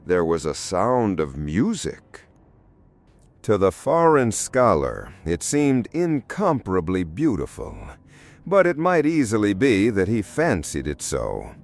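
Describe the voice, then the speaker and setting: A man with a fairly low voice reads a fairy tale as an audiobook narrator. His delivery is slow and measured, with extremely animated, sweeping intonation that brings the storytelling to life.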